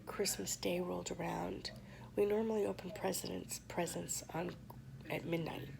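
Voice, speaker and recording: A woman vlogger speaks to camera with a hoarse, heavily gravelly voice that sits a little low. She tells her story softly and haltingly, pausing often. A crowd murmurs behind her. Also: steady tone, poor audio. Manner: soft, halting, with many pauses and fillers.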